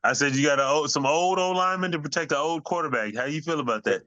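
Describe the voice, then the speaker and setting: A man with a fairly high, sharp-edged voice co-hosts a sports talk show. He banters casually and tosses out questions, recorded close and dry with no room sound. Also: quick pace, no echo, muffled recording.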